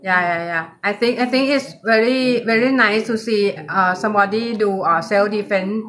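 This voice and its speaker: A woman with a Thai accent hosting a casual livestream chat. She speaks in halting English and keeps repeating "yeah, yeah, yeah.". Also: mid-range voice, fairly bright tone, slight background noise. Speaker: livestream host.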